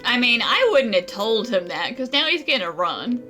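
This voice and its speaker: A woman's voice: a little high, big pitch swings, moderate pace, pushed and bright, soft background music. A woman giving casual, wry and teasing commentary on a game playthrough in slangy speech. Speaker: gaming streamer.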